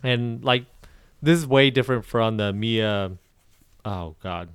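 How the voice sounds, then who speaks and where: A man's voice: mid-range voice, slow, smooth, no echo. A man co-hosting a casual podcast, talking in rambling runs with frequent ums and restarts, recorded close on a podcast mic. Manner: rambling, self-correcting, many ums. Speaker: podcast co-host. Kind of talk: casual chat.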